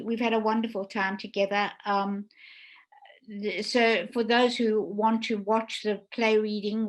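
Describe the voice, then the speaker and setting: A woman's voice: fairly high pitch, poor audio. A woman hosting an online panel discussion in a casual, effusive way, as a theatre producer and director would. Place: indoors, online video call.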